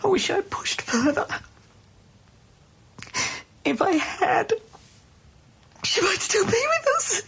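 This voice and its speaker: An actress playing a bitter, grieving middle-aged mother in an audio drama, halting as she speaks. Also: very high-pitched, sing-song, fairly bright tone, full character voice. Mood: grieving, fragile.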